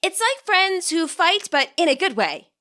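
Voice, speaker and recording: A woman voicing an excitable, earnest teenage girl who gushes and stretches her words for emphasis in an audiobook story. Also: very high-pitched, voice pushed up, exaggerated voice. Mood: earnest, proud. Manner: gushing, emphatic, drawn-out words.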